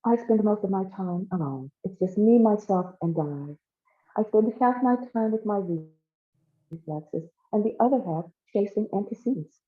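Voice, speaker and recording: A woman's voice: medium pitch, lively intonation, moderate pace, pitched above the performer's own voice, close to an everyday voice. A woman voicing a stately, lonely, self-absorbed young woman in a story, speaking plaintively. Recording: thin phone-like band-limited sound.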